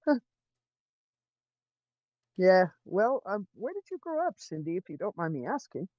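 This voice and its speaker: A man from California with an American accent, chatting casually in a halting, hesitant way. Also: high-pitched, big pitch swings, a little mellow, no room sound, highs cut off. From California, United States. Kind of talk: casual chat.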